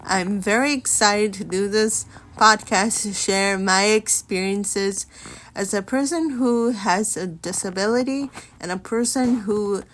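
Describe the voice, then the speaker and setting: A woman in her twenties, from Mexico, hosting a podcast and telling her life story in an earnest way with pauses and restarts. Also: medium pitch, fairly bright tone. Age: 20s. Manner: earnest, unhurried, talks with many pauses and restarts. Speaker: podcast host.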